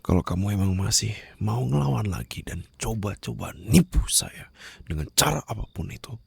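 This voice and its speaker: A man playing a menacing, condescending mafia boss in an ASMR roleplay, with a gravelly, breathy voice that often drops toward a whisper. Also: lowish voice, expressive, darkish tone, dry recording, full character voice. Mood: menacing, low. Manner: slow, taunting, controlled threats. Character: menacing, condescending young male mafia boss holding a captive.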